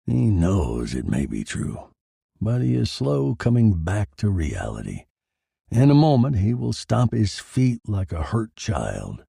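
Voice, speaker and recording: A man's voice: on the low side, huge pitch swings, a little slow, creaky, warm tone, breathy edge, no echo, studio quality. A man giving a brooding, theatrical first-person narration of a scripted story.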